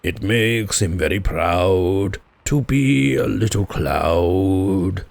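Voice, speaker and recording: A man voicing an earnest, simple-minded toy bear from a storybook, with a ponderous, deliberate delivery. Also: low-pitched, expressive, vocal fry, darkish tone, no room sound, full character voice. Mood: singing softly. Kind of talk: storybook character voice.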